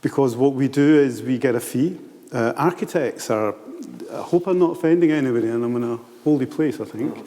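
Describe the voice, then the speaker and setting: An older man with an Italian accent talks with wry, self-deprecating candour. His voice is mellow and rather dark, carried by a long, ringing hall reverb that suits a big public room. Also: medium pitch, fairly quick. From Italy. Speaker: architect and planner on a panel. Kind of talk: candid panel talk.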